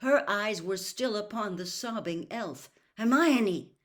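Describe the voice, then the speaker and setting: A woman reading a storybook aloud as an expressive narrator of scripted fiction. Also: mid-range voice, unhurried. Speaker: audiobook narrator.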